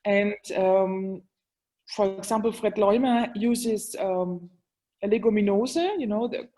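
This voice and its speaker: A woman's voice: mid-range voice, takes it slowly, dark tone. Woman talking english in an interview, lots of ums, hunting for words. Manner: hesitant, many fillers, searching for words. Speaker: guest, wine expert. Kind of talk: casual interview talk.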